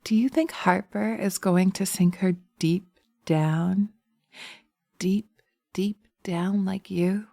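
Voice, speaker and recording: A woman playing a teasing, sweetly sinister witch in a hypnosis roleplay, coaxing slowly and soothingly into a close binaural mic, often dropping to a whisper. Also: medium pitch, vocal fry, warm tone, no echo. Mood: sly, teasing. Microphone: close binaural microphone.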